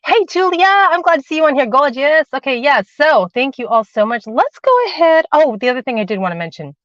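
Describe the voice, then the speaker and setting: A woman's voice: high voice, very animated, brisk, fairly bright tone, no echo. A woman hosting a spiritual livestream from inside a parked car on her phone, chatting casually, calling viewers darling and sweetheart, then leading a guided meditation while she gets over a cold with a cough drop in her mouth. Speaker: spiritual channeler and host. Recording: dull lo-fi phone audio.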